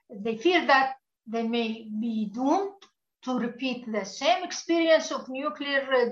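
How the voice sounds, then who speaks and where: Woman, political analyst, formal measured delivery, high voice, roomy and dull-sounding remote line. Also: fairly bright tone. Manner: measured, formal policy talk. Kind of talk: remote interview answer.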